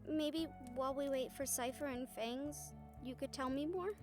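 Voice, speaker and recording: A woman's voice: sits high, fairly even intonation, normal speed, music in the background, close to an everyday voice. A woman playing a wry, caring young woman friend in a fantasy audio roleplay, speaking casually and hesitantly, as if to one listener. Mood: gentle, tentative. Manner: casual, hesitant, chuckling, mildly sweary. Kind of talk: audio roleplay acting, intimate one-sided conversation with listener.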